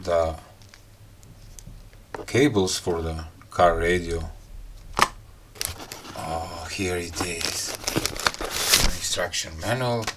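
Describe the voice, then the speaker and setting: A man reviewing a gadget in a casual unboxing style, talking in halting, piecemeal phrases and muttering to himself. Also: sits low, heavy background noise. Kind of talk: casual unboxing and product explanation.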